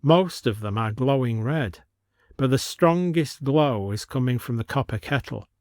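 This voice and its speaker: Measured male storyteller, close dry sound. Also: mid-range voice, animated, a little mellow, no echo. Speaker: narrator. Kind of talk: scripted audio story narration.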